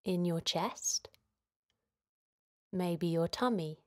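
A woman leading a guided relaxation for children in a gentle, soothing manner, giving simple instructions with pauses. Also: fairly low pitch. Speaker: children's guided meditation host.

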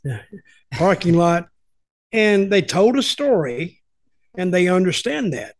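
A man's voice: high-pitched, sing-song, slow. An older man telling rambling, folksy stories as a podcast host on a video call from home. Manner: rambling, folksy, repeats words. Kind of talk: casual storytelling chat. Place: home room with lamp. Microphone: headphones, webcam video call.

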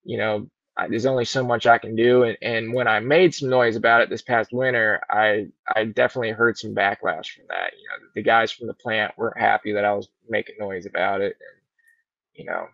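A middle-aged man, a fishing guide, chatting in a relaxed, rambling way on a podcast, heard over a video call from a large indoor showroom. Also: on the low side, fairly quick, vocal fry, no echo. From Virginia. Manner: easygoing, rambling storyteller. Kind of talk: casual podcast interview. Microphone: laptop webcam on a video call. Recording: muffled dull video call audio.

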